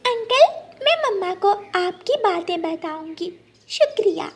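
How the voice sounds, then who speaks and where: A woman's voice: extremely high pitch, animated, measured pace, voice pushed up. A woman voicing a sweet, chatty little girl bird in a cartoon, with a childlike, eager manner.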